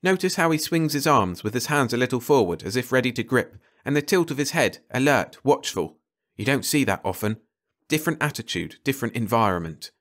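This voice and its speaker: Brisk, clipped male ecologist, raised pitch acted by a man. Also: sing-song, fairly bright tone. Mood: keen, observant. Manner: clipped, telegraphic phrases. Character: brisk, absent-minded, enthusiastic male ecologist. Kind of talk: audiobook character dialogue.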